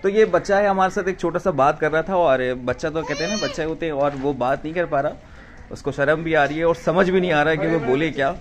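A man reporting a vlog from a residential lane, asking locals questions calmly. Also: a little high, fast, crowd noise. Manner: calm, asks questions. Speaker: vlogger reporter.

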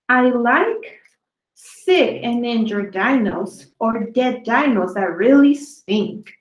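A woman with a fairly high voice reads a children's picture book aloud. She takes it very slowly, stretching words out for young listeners. The recording is clearly echoey, as in a small bare room. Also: highs cut off. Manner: slow, deliberate delivery with drawn-out words for young listeners. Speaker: children's story reader. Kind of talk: read-aloud of a children's dinosaur poem book.

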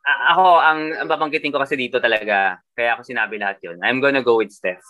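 Bright-voiced Filipino man talking fantasy picks. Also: mid-range voice, rapid-fire. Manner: switches between Tagalog and English, thinking aloud. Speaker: fantasy basketball podcast co-host. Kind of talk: casual chat.

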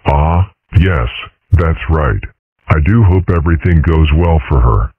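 A man voicing gruff, pompous male animals in a comic strip dub, with stilted, formal delivery. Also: a bass voice, a little slow, no echo, heavily acted. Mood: solemn. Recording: muffled, narrow phone-like sound.

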